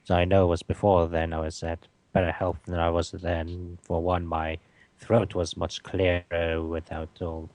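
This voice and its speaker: A man with a very low, flat voice recounting a story at an unhurried pace. He talks plainly and keeps his tone level throughout. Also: no room sound. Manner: slow, matter-of-fact storytelling. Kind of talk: casual group chat.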